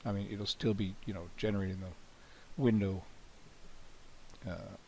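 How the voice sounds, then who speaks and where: A man with a cold, hoarse and often clearing his throat, casually talking through a software demo with many "you know"s and restarts. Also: low-pitched, unhurried, slight background noise, low-quality recording. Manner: relaxed, rambling, frequent "you know" and restarts. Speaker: software developer demonstrating his plugin. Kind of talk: screen-recorded software walkthrough.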